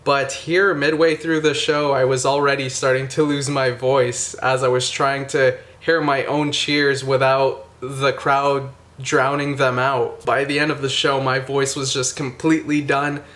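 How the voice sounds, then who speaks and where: A man's voice: mid-range voice, fairly bright tone. A man hosting a vlog, talking casually and enthusiastically to his viewers about a concert he went to. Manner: enthusiastic, grateful, friendly. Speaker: YouTube reaction channel host. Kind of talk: casual vlog recap to camera.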